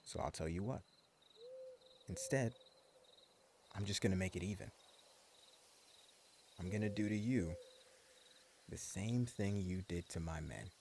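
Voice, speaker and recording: A man's voice: sits low, measured pace, creaky, long pauses, mellow, a little hiss or hum. A man voicing a menacing post-apocalypse gang leader in an audio roleplay, speaking in a taunting, mock-friendly drawl. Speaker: voice actor.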